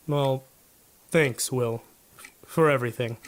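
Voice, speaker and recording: An actor playing an earnest, modest police detective in a 1950s noir radio drama, with a plain-spoken, businesslike manner. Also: male voice, mid-range voice, takes it slowly, close to an everyday voice. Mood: friendly, grateful.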